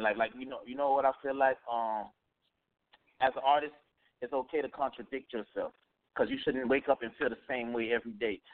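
A man's voice: mid-range voice, phone-quality sound. A young man, a rapper from Miami, talking casually in an interview, loose and rambling with lots of fillers and restarts. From Miami, Florida. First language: Haitian Creole heritage speaker. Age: young adult.

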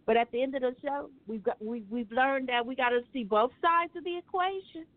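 A woman hosts a community radio talk show in a loose, joking way, keeping the segments moving. Her voice sits a little high and comes through thin and phone-like. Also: brisk, no echo. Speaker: radio host. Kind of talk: casual radio chat. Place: radio studio. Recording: tinny phone-like radio audio.

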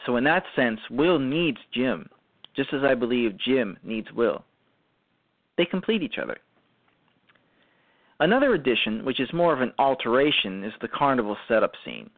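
A man hosting a radio show, speaking in a halting way that often restarts and repeats phrases as he gives commentary. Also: medium pitch, animated, no room sound. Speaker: radio show host and writer-performer. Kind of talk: show commentary, semi-prepared talk. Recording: muffled lo-fi audio.

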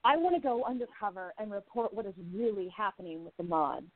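Sassy, lively flapper columnist played by a woman. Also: medium pitch, a little fast, slight background noise, lightly acted. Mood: confident, cool. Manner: sassy, breezy, self-assured. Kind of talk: 1920s radio play acting. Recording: tinny narrow-band phone-like audio.

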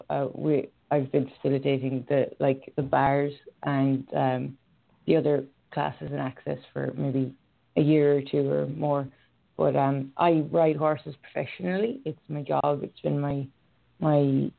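A woman's voice: low-pitched, creaky. An Irish woman hosting a casual online radio chat, talking hesitantly with many fillers and restarts. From Ireland. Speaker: radio show host and horse rider. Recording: phone-line call audio.

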